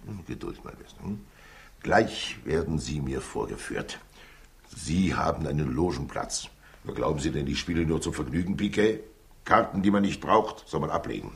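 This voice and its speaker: An actor playing a sly, jovial, heavyset older state councillor in a 1960s radio crime drama, avuncular and theatrical, repeating himself, with a gravelly, husky voice. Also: male voice, extremely low pitch, lively intonation, normal speed, full character voice. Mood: assured, soothing.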